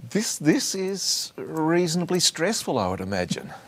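A male host leads a studio interview with a lively, animated pitch. He introduces his guests and keeps them talking with friendly questions and short nods of agreement. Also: moderate pace. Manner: friendly, affirming with short acknowledgements. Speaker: program host.

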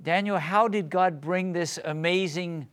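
A man hosting a conversational faith interview, speaking in a reflective, measured way. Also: sits high, a bit bright. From born in England. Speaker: interview host.

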